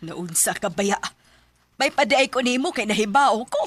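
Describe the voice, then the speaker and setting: A woman's voice: a little high, lively intonation, moderate pace, dry recording. A woman playing a stern, scolding mother in a radio drama, with a Bisaya accent. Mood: reproachful. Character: stern, scolding mother worried for family honour.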